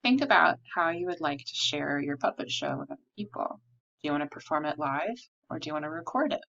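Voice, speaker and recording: A woman's voice: low-pitched, lively intonation, fast, a bit bright. A woman art teacher from the United States giving simple, step-by-step craft instructions while demonstrating at a table. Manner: simple, clear step-by-step phrasing. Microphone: recording a tabletop demonstration under an overhead camera. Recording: slightly dull, lo-fi audio.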